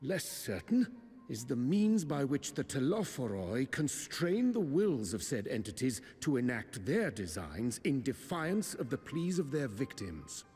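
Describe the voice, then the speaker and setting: An actor playing an articulate male scholar in a video game, speaking formal, archaic English in grave, measured phrases. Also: medium pitch, very animated, darkish tone, slightly rough, exaggerated voice. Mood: grave, analytical. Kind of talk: scripted video game drama. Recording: reverberant hall, music underneath.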